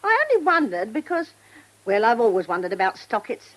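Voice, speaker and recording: An actress playing a talkative, inquisitive servant from the manor in a radio drama, with a working-class southern English accent. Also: female voice, a little high, sing-song, a little fast, low-quality recording. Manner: inquisitive, gossipy, rambling.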